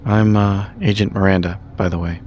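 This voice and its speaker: Man playing a low-voiced, cautious analyst agent. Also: fairly even intonation, moderate pace, darkish tone, slight background noise, close to an everyday voice. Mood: careful, analytical. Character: cautious, analytical intelligence agent, not a field person. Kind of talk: improvised tabletop roleplay dialogue.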